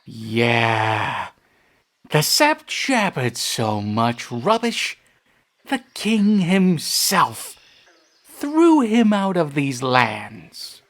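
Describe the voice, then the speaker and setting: Man voicing a very slow, sardonic troll scout. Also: medium pitch, huge pitch swings, fairly bright tone, heavily acted. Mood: scornful. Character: sardonic giant troll scout guard, male. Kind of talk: fantasy audio drama acting. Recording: music bed behind the voice.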